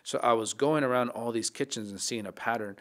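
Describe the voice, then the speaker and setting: A man in his thirties, a chef and podcast guest, talking in a reflective, casual way, often restarting his sentences, into a studio mic in a dark podcast studio. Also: medium pitch, a little fast. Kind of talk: casual interview talk. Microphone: studio mic on boom arm.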